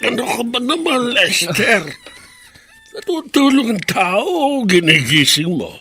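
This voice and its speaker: A man's voice: very high voice, very animated, slow, pushed and bright, husky, heavily acted. An actor playing a stubborn, bitter old Filipino grandfather in a radio drama, grumbling and querulous. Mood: grumpy. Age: older. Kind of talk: Tagalog radio drama acting.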